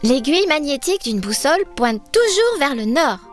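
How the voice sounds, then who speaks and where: A woman's voice: high voice, sing-song, moderate pace, faint music behind. A woman voicing a brave, cheerful young girl adventurer in a cartoon dub, upbeat and encouraging. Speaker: dubbing actress.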